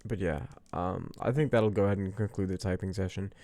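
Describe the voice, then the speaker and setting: A man's voice: deep voice, brisk, warm tone, no room sound, studio quality. A man hosting a casual typing session, tentative and hesitant as he thinks aloud. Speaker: host of a personality typing session. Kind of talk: casual chat.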